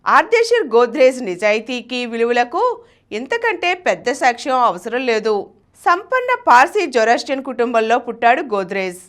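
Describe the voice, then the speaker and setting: A woman with a Telugu accent presenting scripted biographical narration in an expressive, emphatic storytelling manner. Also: a little high, fairly quick. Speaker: channel presenter reading a biography.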